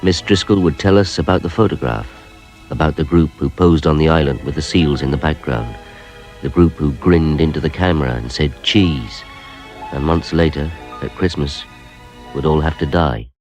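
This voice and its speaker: A man's voice: rumbling low, moderate pace, a little mellow, soft background music. An actor playing a plain-spoken, sceptical male detective with a British accent who also narrates a radio crime drama. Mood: ominous.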